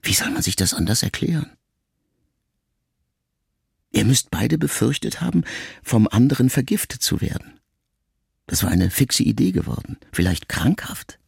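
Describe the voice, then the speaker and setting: A middle-aged man reading a literary memoir aloud in a measured, reflective first-person voice. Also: mid-range voice, very animated, gravelly, a little mellow, breathy edge, no room sound, studio quality. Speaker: audiobook narrator. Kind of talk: scripted literary narration.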